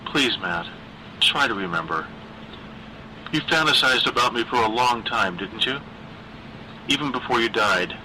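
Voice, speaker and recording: A man voicing a weary, frightened middle-aged woman who reasons and coaxes in an earnest, halting way in a horror story. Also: medium pitch, expressive, pushed and bright, a little hiss or hum, poor audio. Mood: weary coaxing.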